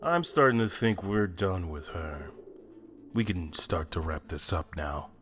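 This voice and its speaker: A man acting a calm, menacing villain leader in an anime-style fan drama, with a cool commanding manner. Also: deep voice, unhurried. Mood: cold, dismissive.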